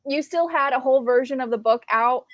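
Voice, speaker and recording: A woman co-hosting a casual book discussion, animated and given to repeating words for emphasis. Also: high voice, brisk, no echo. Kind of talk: casual live discussion of classic books.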